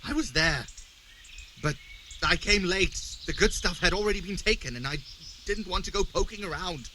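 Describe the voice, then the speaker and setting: A nervous petty scavenger for a video game. His voice is rather high and sharp, defensive and prone to stammering, with faint outdoor ambience behind it. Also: moderate pace, a little hiss or hum. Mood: cowed, flustered. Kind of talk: video game dialogue acting.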